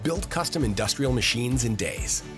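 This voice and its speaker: A man's voice: medium pitch, expressive, normal speed. A man reading a scripted promotional voice-over for an advert. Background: music.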